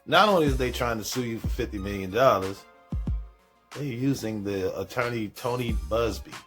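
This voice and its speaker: A man's voice: mid-range voice, normal speed, over music. A man hosting a reaction show, giving casual, slangy commentary and repeating phrases for emphasis.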